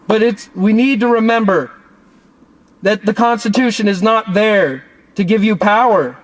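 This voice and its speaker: A man's voice: high-pitched, rough recording. An American man making a declamatory, legalistic protest speech through a megaphone from a parked car. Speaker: activist vlogger. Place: parked car at night outside a city building.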